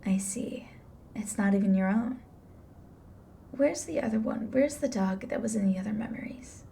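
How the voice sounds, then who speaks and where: A woman voices a female grim reaper for an audio roleplay, relaxed and dry, with some fry in the voice. She is blunt and flippant, prying without much sympathy. Faint noise sits behind her. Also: mid-range voice, normal speed, natural voice. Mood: curious, tactless. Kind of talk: ASMR roleplay acting.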